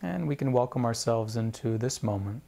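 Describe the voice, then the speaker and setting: A man with a mid-pitched voice guides a meditation practice. He speaks like an instructor, in measured, deliberate phrases. He leaves space between one phrase and the next. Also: lively intonation, creaky, mellow. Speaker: meditation teacher.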